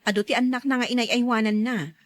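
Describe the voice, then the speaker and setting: Woman playing a high-voiced Filipino working mother. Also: fairly even intonation, quick pace, no echo, lightly acted. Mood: hurried. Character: busy career-minded young mother and devoted wife. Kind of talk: radio drama acting.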